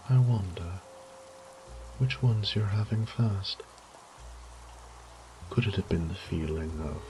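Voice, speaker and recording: A man playing a suave, sadistic vampire lord in a horror audio roleplay, with a theatrical, ornate, taunting delivery that often drops to a breathy whisper. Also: deep voice, noisy background. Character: suave, sadistic male vampire lord toying with his captive prey.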